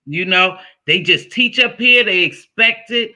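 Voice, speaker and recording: A man's voice: high-pitched, animated, measured pace, sharp tone. A man hosting a casual interview, chatty, telling stories and asking questions. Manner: chatty, storytelling, asks questions. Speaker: interview host.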